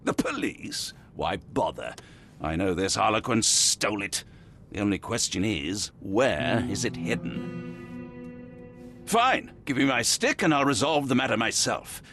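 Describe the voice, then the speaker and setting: A proud, hot-tempered middle-aged nobleman in a game cutscene. He talks slowly and grandly, his voice swooping up and down with indignation. Faint music plays under him. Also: lowish voice, very animated, full character voice. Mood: scornful. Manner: indignant, boastful, shouting at times. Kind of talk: video game cutscene voice acting.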